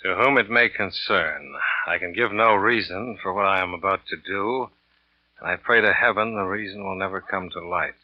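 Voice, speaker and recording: A man's voice: low voice, moderate pace, dry recording, natural voice. An actor playing a scheming pilot and salesman who narrates his own murder plot in a 1940s radio drama. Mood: grave, deliberate. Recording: muffled old radio broadcast with music.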